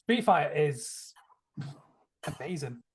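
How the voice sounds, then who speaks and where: A man's voice: a little high, expressive, slow pace, husky. A man chatting casually and enthusiastically about films as a podcast panelist, rambling a little as he goes. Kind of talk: casual film discussion.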